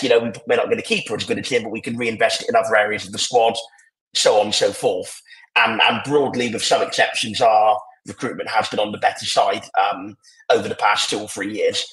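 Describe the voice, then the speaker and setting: A man's voice: lowish voice, fairly bright tone. Tired man talking football on a podcast. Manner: rambling, opinionated, many restarts. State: croaky and tired. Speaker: podcast panelist. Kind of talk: casual podcast discussion. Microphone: AirPods on a video call. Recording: echoey, poor sound quality.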